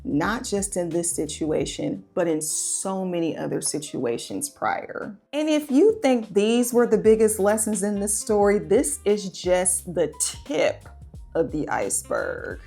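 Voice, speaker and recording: A woman's voice: mid-range voice, lively intonation, measured pace, creaky, warm tone, slightly rough, soft background music. A woman relationship coach telling a personal story in a conversational, confessional way, often putting questions to her listeners.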